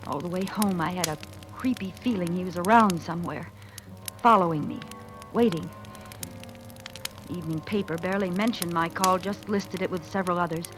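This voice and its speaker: A woman's voice: lowish voice, moderate pace, pauses often, natural voice. An actress playing a jittery petty crook who narrates her fear of a killer in 1940s radio thriller style. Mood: uneasy, frustrated. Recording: vintage radio recording with music.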